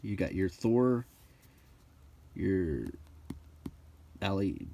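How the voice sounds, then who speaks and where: A middle-aged man giving casual, unscripted commentary as he leafs through a book, rambling and halting, musing aloud in short phrases. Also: low-pitched, lively intonation, creaky, dark tone. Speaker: host of a hobby channel.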